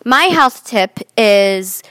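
A woman's voice: medium pitch, lively intonation, extremely slow, fairly bright tone, clean tone, no echo. A woman in her late twenties bantering casually as a podcast co-host, blunt and teasing. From Chile. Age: late 20s. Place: indoors at own home. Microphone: phone.

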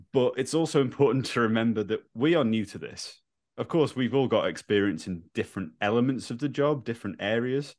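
A man co-hosting a podcast, speaking candidly and reassuringly to viewers, admitting he is a bit nervous. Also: fairly low pitch. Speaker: podcast co-host. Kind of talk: earnest address to viewers.

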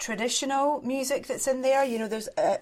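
A woman's voice: high-pitched, brisk, crowd noise. A Scottish woman hosting a podcast interview, asking questions with enthusiastic, effusive praise. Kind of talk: friendly interview chat.

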